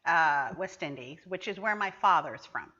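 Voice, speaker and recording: A woman from Trinidad, a podcast guest, telling her story in a reflective, unhurried way with many fillers. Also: deep voice, expressive, bright, forceful tone. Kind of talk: casual interview chat. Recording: dull lo-fi audio.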